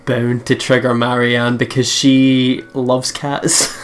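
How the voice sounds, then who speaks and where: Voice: mid-range voice, little pitch movement, slow pace, a little hiss or hum. An easygoing, giggly let's play host chatting casually over a game. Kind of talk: casual gaming chat.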